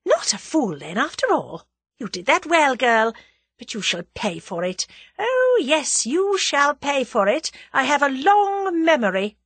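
A woman's voice: on the high side, very animated, moderate pace, a bit bright, slightly rough, no echo, voice pushed up, full character voice. A woman voicing a menacing, imperious villainess with a cold, commanding and scornful manner in an audiobook story. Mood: cold malice.

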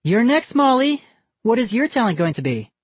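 A man voicing a brisk, commanding mother who organizes the children in a storybook reading. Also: very high-pitched, very animated, slightly rough, dry recording, pitched above the performer's own voice, full character voice. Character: bossy caring mother hosting kids.

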